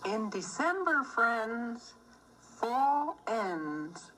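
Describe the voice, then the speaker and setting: Female children's book reader, lively melodic range, slow pacing, expressive, music in the background. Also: a little high, low-quality recording. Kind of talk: scripted storybook narration.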